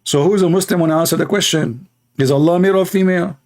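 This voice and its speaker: A man's voice: high-pitched, quick pace, a little mellow. A man hosting a livestream debate, talking in a sarcastic, mocking, rambling way with lots of fillers.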